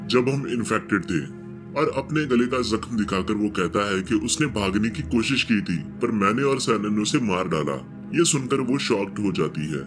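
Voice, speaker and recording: A man with a fairly low voice tells a film's story as a scripted recap. His delivery is steady and continuous, in the manner of a storyteller. Music plays clearly behind his voice. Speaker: film recap narrator. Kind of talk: scripted plot narration, storytelling.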